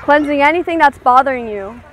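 A woman leading a guided meditation, giving gentle, soothing instructions and checking in with her listeners. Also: high voice, animated, brisk, a little hiss or hum.

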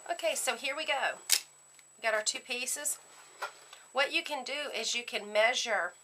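A woman giving a casual step-by-step craft demonstration at her worktable, chatting in a relaxed way while working with her hands. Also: fairly high pitch, a little slow, noisy background. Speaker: craft tutorial host.

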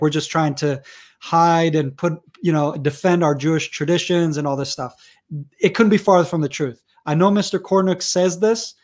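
A man in his thirties from Israel, a native Hebrew speaker with an American accent, arguing his point passionately with frequent restarts as a guest on a video call interview. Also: on the high side. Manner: passionate, argumentative, many restarts. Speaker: guest researcher. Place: room at home. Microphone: wired earphones on video call.

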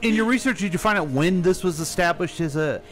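A man's voice: sits high, lively intonation, fast talker. Middle aged guy hosting a podcast, casual chat, voice moves up and down a lot, bit of music. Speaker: podcast host asking questions. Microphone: close studio microphone.

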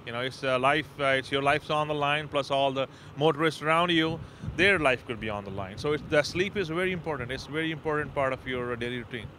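A man's voice: medium pitch, fast, bright, forceful tone. A man, a truck driver, speaking earnestly with some hesitations in an outdoor interview at a rest area. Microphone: handheld mic. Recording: outdoor interview with traffic noise.

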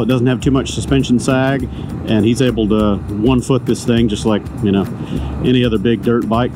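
A man riding a motorcycle and chatting casually to the camera through a helmet mic, rambling, loose and quick to swear and chuckle. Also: fairly low pitch. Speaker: motorcycle vlogger. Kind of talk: casual ride commentary. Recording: music playing under the voice.

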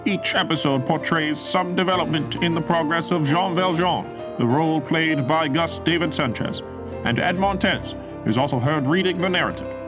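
A male announcer delivers a scripted radio drama introduction. His voice sits a little high and his delivery is formal, with prominent music behind it. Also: moderate pace. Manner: formal presentational.

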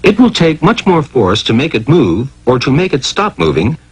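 A man delivers scripted educational cartoon narration with theatrical emphasis. His pitch swings widely from phrase to phrase, and his voice has a husky edge. He poses rhetorical questions as he explains. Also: huge pitch swings, normal speed.

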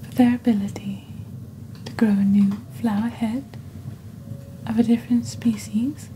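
Young female ASMR presenter, breathy near-whisper, very mellow and dark tone, deliberate scripted read, faint ambient noise. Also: on the high side, fairly even intonation, rough recording. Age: young adult. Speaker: ASMR host playing a shopping channel presenter. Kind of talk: soft-spoken ASMR, scripted product presentation.

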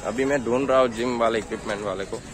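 A man's voice: medium pitch, fast. Young man vlogging through a noisy street market. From India. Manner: chatty, haggling with sellers while walking. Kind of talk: casual walking market vlog. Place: busy outdoor street market. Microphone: handheld phone camera. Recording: noisy outdoor street recording. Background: crowd chatter and passing traffic.

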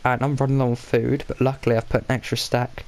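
A man's voice: mid-range voice, flat delivery, fast talker, creaky, a little mellow. A man with a blocked, stuffy nose giving casual, rambling commentary while he plays a game, often correcting himself and muttering to himself. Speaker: gaming channel host.

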